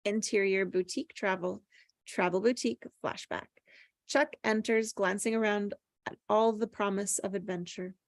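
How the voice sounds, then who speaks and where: A woman's voice: mid-range voice, fairly even intonation, normal speed, no echo. A woman reading screenplay stage directions as plain, descriptive narration at a table read.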